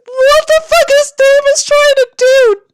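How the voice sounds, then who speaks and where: A woman's voice: very high voice, unhurried, very noisy. A woman reading a book aloud in a mocking, dramatic way, with incredulous, sweary asides. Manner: dramatic, incredulous, expressive reactions. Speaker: reader and commentator of a badly written book.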